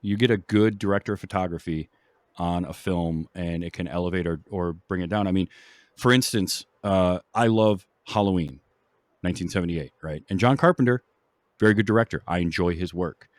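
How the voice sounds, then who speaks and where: A man chatting casually about films as a podcast panelist, thoughtful and measured, building his points from examples. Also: sits low, dry recording. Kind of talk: casual film discussion.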